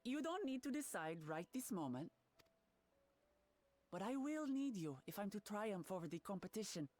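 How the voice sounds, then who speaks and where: A woman's voice: mid-range voice, big pitch swings, normal speed, a little mellow, soft background music. A woman voicing a spirited young princess in a video game, speaking in an earnest, resolute, plain-spoken way. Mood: earnest, determined. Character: earnest, spirited young princess seeking her father's throne. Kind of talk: video game cutscene acting.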